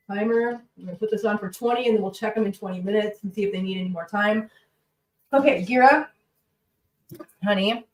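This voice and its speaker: A woman's voice: mid-range voice, distant mic, poor audio. Chatty congested woman cooking at home. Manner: chatty, rambling, talks to her pets and viewers. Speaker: cooking livestream host. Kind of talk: casual chat while cooking. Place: home kitchen.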